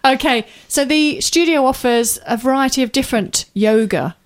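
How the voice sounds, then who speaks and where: A woman's voice: high voice, moderate pace. A woman hosting a radio show in the studio, chatting with guests in a playful, talkative way and laughing often. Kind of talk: casual studio chat.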